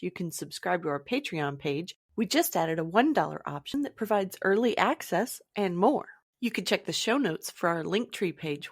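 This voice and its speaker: A woman's voice: fairly low pitch, no room sound, clean studio sound. A woman podcast host reading a scripted show introduction. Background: music.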